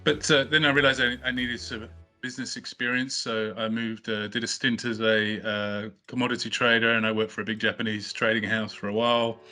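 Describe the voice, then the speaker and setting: Bright-voiced hesitant man, soft music behind. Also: fairly low pitch, flat delivery, brisk. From not from Australia, studied in the UK. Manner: hesitant, many fillers and restarts. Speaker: company co-founder, podcast guest. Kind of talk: casual interview answers.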